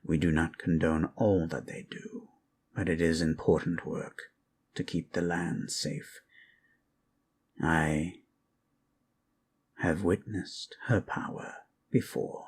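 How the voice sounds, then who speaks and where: A man voicing an ancient, weary undead lich who tells history in a hushed, solemn, gravelly voice for an ASMR roleplay. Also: low voice, fairly even intonation, a little hiss or hum. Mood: solemn, measured. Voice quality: gravelly, creaky. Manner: measured, hushed, solemn, with long pauses. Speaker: storyteller. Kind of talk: ASMR roleplay, slow improvised fantasy history lesson.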